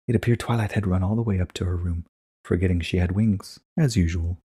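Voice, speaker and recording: A man's voice: sits low, a little fast, dark tone, husky. A man narrating a short story as a scripted dramatic reading.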